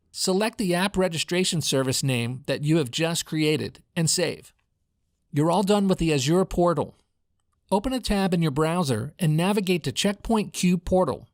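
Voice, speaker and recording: A man with a General American accent reading a scripted product demo in a clearly enunciated, instructional way, as a voice-over narrator in a home studio. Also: a little high, no room sound. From United States.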